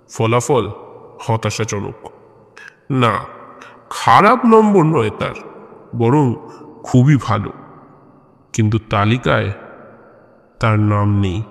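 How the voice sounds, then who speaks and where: Slow-talking man in long reverb. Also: medium pitch. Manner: measured, pausing between short phrases. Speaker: host and narrator of an audio story channel. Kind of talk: scripted horror story narration and channel introduction.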